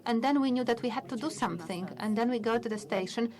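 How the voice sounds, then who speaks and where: A woman's voice: on the high side, quick pace, crowd noise. Older woman, conference speaker, hesitant testimony with many restarts, hall audience audible. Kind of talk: personal testimony speech.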